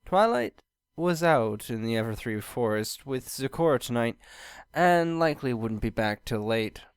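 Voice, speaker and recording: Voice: mid-range voice, expressive, measured pace, clean tone, dry recording, studio quality. A narrator reading a scripted fan fiction story aloud.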